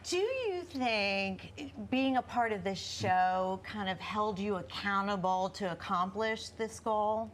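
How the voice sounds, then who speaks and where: A woman's voice: mid-range voice, animated, slow pace, few pauses, a little hiss or hum. A woman co-hosting a TV talk segment, asking curious, probing questions. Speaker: TV co-host. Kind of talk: TV talk interview.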